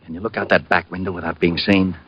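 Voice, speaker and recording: An actor playing a gruff, businesslike police lieutenant in an old-time radio crime drama, speaking in a clipped, matter-of-fact way. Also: male voice, low-pitched, flat delivery, fast, a bit bright. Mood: tense, brisk. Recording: muffled vintage radio broadcast.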